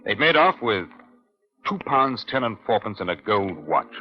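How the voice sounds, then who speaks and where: An actor playing a courteous, composed British police inspector in a 1950s radio crime drama, measured and firm. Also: male voice, lowish voice, very animated. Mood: grave narration. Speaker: lead actor and narrator. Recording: muffled old radio broadcast.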